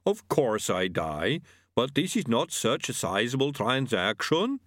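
A man voicing a huge, good-natured male yeti who talks in an unbothered way with a booming chuckle. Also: big pitch swings, a little slow, fairly bright tone, no room sound, studio quality. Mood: placid.

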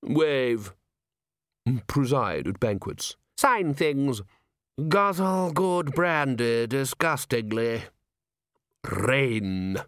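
A man voicing a scheming upper-class gentleman, formal, condescending and impatient, in a comic fantasy audiobook. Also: medium pitch, sing-song, very slow, long pauses, smooth, no room sound, clean studio sound, exaggerated voice. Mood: pompous, patient. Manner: formal, wheedling, pompous.

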